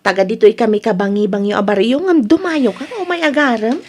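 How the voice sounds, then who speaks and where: A woman's voice: on the high side, a little fast, darkish tone, natural voice. A woman with an Ilocano Filipino accent playing a coy, cautious young village maiden in a radio comedy drama.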